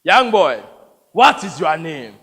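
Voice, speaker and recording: A man's voice: a little high, big pitch swings, slow pace, fairly bright tone, exaggerated voice. A man playing a savage, gloating African rebel warlord in a stage story, commanding and theatrical, in a reverberant hall. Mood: contemptuous, commanding.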